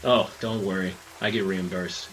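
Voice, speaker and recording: A man's voice: sits low, fairly even intonation, moderate pace, fairly bright tone, slight background noise, poor audio. A man voicing a dry, wry 1920s gangster who has been blinded, in an audio drama.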